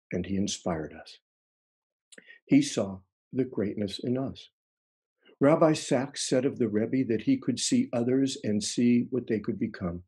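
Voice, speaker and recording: A man in his sixties reading a scripted eulogy in a solemn, measured way, recorded indoors on a video call. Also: medium pitch, warm tone, husky. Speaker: rabbi giving a tribute. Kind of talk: scripted eulogy, read aloud.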